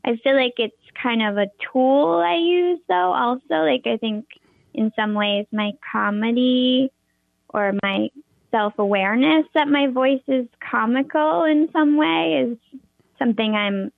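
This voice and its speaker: A young woman with a high voice, a performer and teacher, talking in an interview with lots of hesitant fillers and restarts. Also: unhurried, gravelly, dry recording. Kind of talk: interview conversation. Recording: tinny phone-like line, dry.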